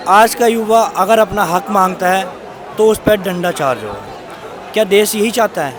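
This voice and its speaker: A man's voice: sits high, animated, moderate pace. Fiery young male student protester. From Aligarh, India. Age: young adult. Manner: fiery, rallying and indignant. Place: outdoor protest. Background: crowd.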